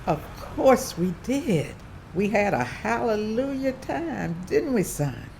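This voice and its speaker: Woman reading a gravelly, slow old mother. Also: mid-range voice, big pitch swings, darkish tone, a little hiss or hum, heavily acted. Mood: warm. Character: elderly mother, gracious and determined. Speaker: table read actor. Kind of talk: table read.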